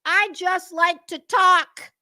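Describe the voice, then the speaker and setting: A woman's voice: very high voice, steady tone, slow, bright, forceful tone. A woman giving an unscripted commentary rant to her viewers, blunt, sweary and confrontational, leaning hard on emphatic words. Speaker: online commentary creator.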